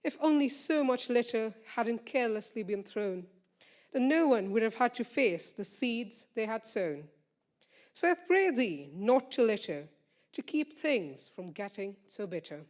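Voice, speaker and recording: A woman reciting a rhyming poem on stage with deliberate emphasis. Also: a little high, lively intonation, a little mellow. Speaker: student poet.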